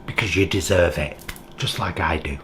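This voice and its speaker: A man's voice: sits low, normal speed, fairly bright tone, slight background noise. A British man talking in a heartfelt, reflective vlog monologue from his home kitchen. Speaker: vlogger.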